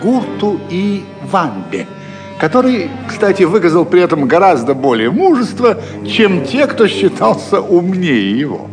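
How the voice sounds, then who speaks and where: Reverberant, very animated male narrator. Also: high voice, unhurried. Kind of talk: dramatic scripted narration. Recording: long hall reverb over music.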